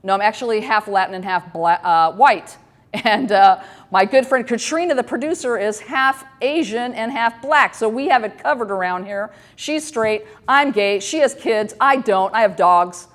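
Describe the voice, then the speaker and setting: A woman's voice: fairly high pitch, expressive, normal speed. A woman in her fifties hosting a talk show, talking candidly and joking at her own expense, with many fillers and restarts. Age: 50s. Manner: candid, self-deprecating, rambling with many fillers. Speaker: host. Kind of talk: casual talk show monologue.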